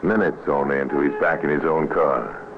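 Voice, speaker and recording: An actor narrating an old-time radio crime drama as a grave, ominous storyteller, on a scratchy, tinny recording. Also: male voice, rumbling low, normal speed, over music. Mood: grave, measured.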